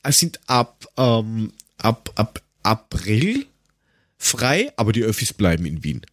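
A man from Lower Austria with an Austrian accent, chatting casually and sarcastically as a podcast co-host, given to ranting and crude jokes. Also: big pitch swings, slow pace, dry recording, clean studio sound. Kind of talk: casual chat.

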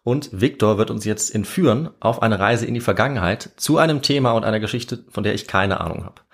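A man's voice: on the low side, a little fast. A man hosting a podcast in a casual, chatty style. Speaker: podcast host.

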